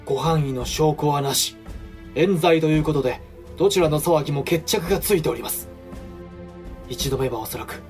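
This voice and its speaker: An actor playing a cautious, deferential samurai-era regent in a period audio drama, speaking formally and respectfully. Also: male voice, on the high side, darkish tone, natural voice. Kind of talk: period radio drama acting in archaic speech.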